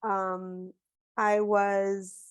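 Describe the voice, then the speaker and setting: A woman from Barbados, an author, talking through a video call. She tells her story thoughtfully with small restarts and sounds a little hoarse from a cold. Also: medium pitch, flat delivery, very slow, dry recording, lo-fi sound. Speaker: author guest. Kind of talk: casual book club video call conversation.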